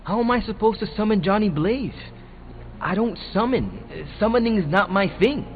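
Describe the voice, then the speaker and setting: An actor playing a nervous young superhero in a video game, protesting in a flustered, emphatic manner. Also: male voice, high-pitched, big pitch swings, normal speed, dull audio. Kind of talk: scripted video game dialogue.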